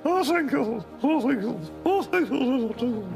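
A man voicing a bumbling, childlike British eccentric in a cartoon, muttering to himself in halting fragments. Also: very high voice, expressive, creaky, music in the background, exaggerated voice. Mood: pleased, giddy. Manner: mumbling, halting, fragmentary self-talk. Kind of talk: cartoon voice acting.

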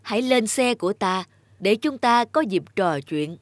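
A woman's voice: mid-range voice, expressive, a little slow, background noise, close to an everyday voice. A woman voicing a genial, pompous king with a regal manner in a fairy-tale cartoon. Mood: genial, commanding. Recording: music behind.

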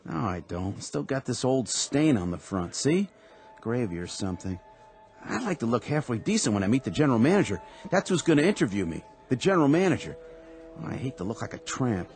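An actor playing a down-on-his-luck former pitcher in a radio drama, humble and eager. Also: very animated, a little fast, a little mellow. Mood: plain. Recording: radio play with music underneath.

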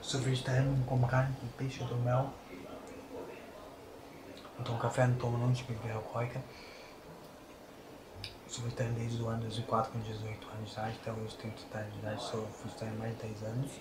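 A man in his thirties with a Brazilian Portuguese accent, filming himself in a small bedroom and rattling off his personal facts in a quick, flat run. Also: mid-range voice, very noisy. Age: 30s. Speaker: vlogger. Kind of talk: self-recorded spoken introduction. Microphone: camera held close at arm's length. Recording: echoey small bare room.

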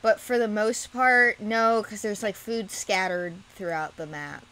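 A woman streaming a game, casually running a group event and laying down the rules in a big, loud voice. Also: medium pitch, steady tone, unhurried, rough recording. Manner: loud, bossy rule-giving. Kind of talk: casual gaming chat. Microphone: desk mic on a laptop. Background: laptop fan noise.

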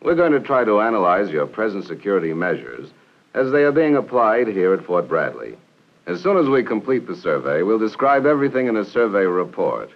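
A methodical counterintelligence agent in a vintage military training film. His low voice rises and falls expressively while staying formal and polite. The old soundtrack is muffled. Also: big pitch swings. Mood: courteous, assured. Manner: measured, formal delivery. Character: courteous, methodical male army counterintelligence agent. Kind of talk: 1960s training film acting. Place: small office and meeting room, indoors.

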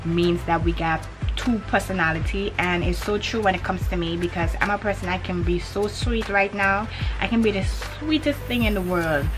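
A young woman from Grenada with a Grenadian accent, chatting animatedly to the camera in a vlog and repeating her points for emphasis. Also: on the low side. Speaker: vlogger. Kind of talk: casual to-camera chat. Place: indoors in front of a hanging cloth backdrop. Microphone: camera in front at head-and-shoulders framing.

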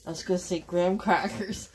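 A middle-aged woman with a Canadian accent, chatting to viewers in a relaxed, rambling way while cooking in her home kitchen. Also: lowish voice, a little fast, slight background noise. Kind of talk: chatty kitchen vlog narration. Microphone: camera on counter.

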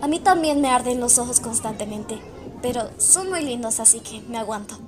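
Woman playing a high-voiced, friendly young waitress. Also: expressive, normal speed, crowd noise, background noise, lightly acted. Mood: musing. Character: friendly, thoughtful young female cat cafe employee. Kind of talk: fan dub of a manga. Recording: music playing behind the voice.

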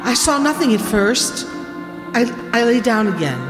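A middle-aged woman playing a frightened woman in an old-time radio horror drama, dramatic and halting. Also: on the high side, big pitch swings. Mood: uneasy, hushed. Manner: dramatic, halting, dread-filled. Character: frightened woman recounting an eerie night terror. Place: seated on stage in a community hall. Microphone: boom stand microphone. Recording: echoey hall, loud music behind.

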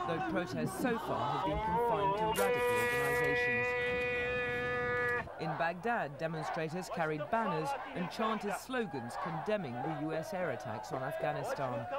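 Woman reading news over loud crowd noise. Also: fairly low pitch. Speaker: news reporter. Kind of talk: scripted news voice-over narration.

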